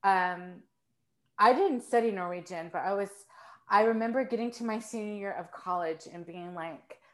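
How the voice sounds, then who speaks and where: A woman chatting as a podcast guest, telling stories in an animated, self-mocking way. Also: mid-range voice, moderate pace. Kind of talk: casual conversational storytelling.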